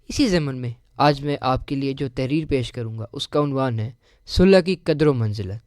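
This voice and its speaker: A man presenting on radio, reading a scripted religious article in a formal, measured manner with careful enunciation. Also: a little high, a little mellow, dry recording, studio quality. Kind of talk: scripted devotional narration.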